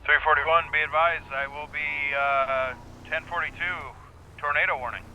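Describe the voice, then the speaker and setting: A man's voice: a little high, steady tone, moderate pace, pushed and bright, lo-fi sound, slight background noise. A man speaking in clipped police radio phrases over a game voice chat. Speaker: player roleplaying police radio traffic.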